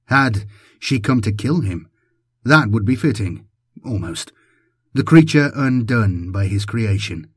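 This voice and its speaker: A man's voice: on the low side, lively intonation, unhurried, slightly rough, no echo, clean studio sound. A man giving a dramatic scripted narration of an audiobook as its narrator.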